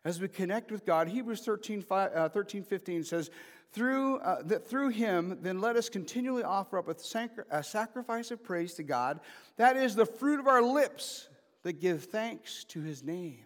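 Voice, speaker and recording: A man's voice: high voice, animated, normal speed. A man in his fifties preaching an informal sermon as pastor, mixing joking asides with emphatic calls to the congregation. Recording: preached in a reverberant hall.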